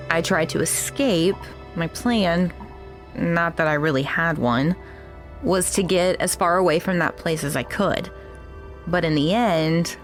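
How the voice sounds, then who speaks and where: A woman playing an earnest, regretful new recruit in an audio drama, speaking sincerely with halting pauses. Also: on the low side.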